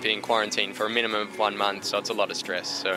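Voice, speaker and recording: A man's voice: on the low side, little pitch movement, very fast. A man with an Australian accent, a dancer and choreographer answering interview questions in an easygoing, chatty way. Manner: easygoing, chatty, starts sentences with "so". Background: music playing.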